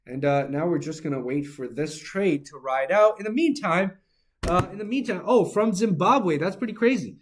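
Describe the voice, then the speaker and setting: A man's voice: high-pitched, lively intonation, normal speed, a little hiss or hum. A man hosting an informal trading livestream, hyping with catchphrases and answering viewer comments as he goes. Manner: hype-driven, informal, repeats catchphrases. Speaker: livestream host, trader. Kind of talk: live-stream sales pitch and chat replies. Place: office.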